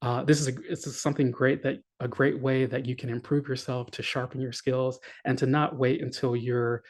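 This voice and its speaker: A man's voice: mid-range voice, level tone, moderate pace. A man giving a conference talk, earnest and encouraging, with many fillers and restarts. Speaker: conference speaker.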